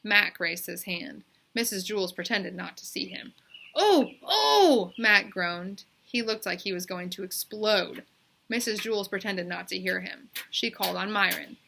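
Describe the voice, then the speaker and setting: A woman reading a children's storybook aloud in expressive narration. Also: medium pitch, normal speed, vocal fry, slight background noise. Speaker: storybook reader.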